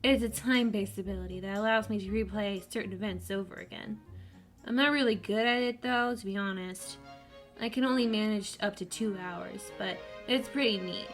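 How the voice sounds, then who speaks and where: A woman's voice: mid-range voice, moderate pace, natural voice. A woman voicing a confident, teasing young schoolboy with superpowers, upbeat and friendly, with faint music behind. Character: cocky, cheerful young schoolboy with powers.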